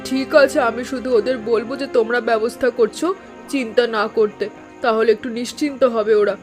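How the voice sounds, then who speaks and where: A woman voicing a caring, neighbourly village woman in an animated story, concerned and soothing in manner. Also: fairly high pitch, a little fast, soft background music, natural voice. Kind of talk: Bengali animated story dialogue.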